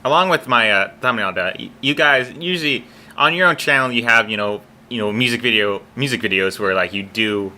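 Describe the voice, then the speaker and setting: A man's voice: fairly quick, bright, forceful tone. A teenage boy hosting a casual podcast chat, talking with many restarts and fillers. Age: teens. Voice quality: naturally deep voice. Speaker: podcast host.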